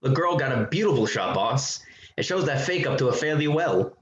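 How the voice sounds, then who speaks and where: Man playing a lively reporter, muffled echoey sound. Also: mid-range voice, normal speed, close to an everyday voice. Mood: matter-of-fact. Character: plain-spoken newspaper reporter talking to his boss. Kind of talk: old-time radio drama acting.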